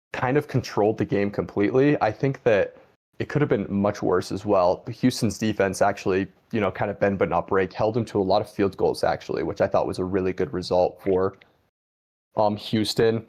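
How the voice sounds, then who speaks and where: A man's voice: fairly low pitch, a little mellow. A man hosting a casual football talk show, giving opinions with lots of "I mean" and "like.". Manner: conversational, many "I mean" and "like" fillers. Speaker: host.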